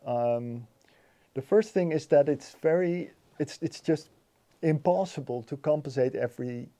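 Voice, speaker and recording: A man giving a technical explanation as an interview guest, haltingly, often repeating words as he thinks. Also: on the high side, mellow. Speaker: interview guest, loudspeaker designer.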